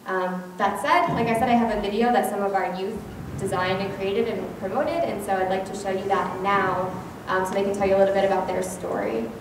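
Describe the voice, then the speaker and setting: A woman's voice: mid-range voice, brisk, noisy background. A woman giving an earnest talk to a live audience about a foster care program, with frequent ums and likes. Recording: echoey room, heard from far off.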